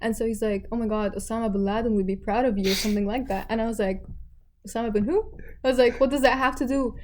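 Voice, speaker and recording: Young woman guest from Abu Dhabi, mellow tone, animated storyteller who does voices for others. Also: a little high, quick pace, a little hiss or hum. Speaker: guest, tennis player. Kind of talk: casual podcast chat.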